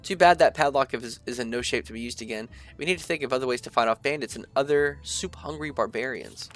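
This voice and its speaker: A young man with a stuffy nose chatting casually through a gameplay session, reading the game's text aloud and thinking out loud with lots of fillers. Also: medium pitch, fast. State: stuffy from allergies. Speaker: gaming video creator. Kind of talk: casual let's-play commentary, reading game text aloud.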